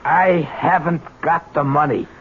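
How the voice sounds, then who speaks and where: An actor playing a weary old American ex-convict, streetwise, wry and stubborn, in a 1970s radio drama. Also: male voice, a little high, fairly even intonation, measured pace, close to an everyday voice. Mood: stubborn denial. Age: older. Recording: muffled, noisy 1970s radio recording.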